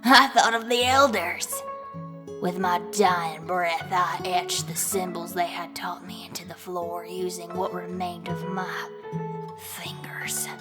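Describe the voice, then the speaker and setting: A woman's voice: lowish voice, steady tone, music in the background. A woman voicing a vengeful young village woman turned hag in a brooding dramatic horror monologue. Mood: anguished, vengeful. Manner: slow, brooding, swelling from hushed whispers to intense outbursts.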